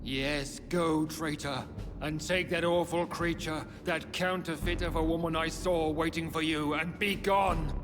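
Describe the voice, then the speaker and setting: An actor playing an imperious, scornful aristocrat, pompous and commanding, in a theatrical video game scene with hall echo. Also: male voice, a little high, fairly even intonation, a little slow, music in the background, lightly acted. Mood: scornful, banishing. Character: imperious, scornful aristocratic lord of a secret order.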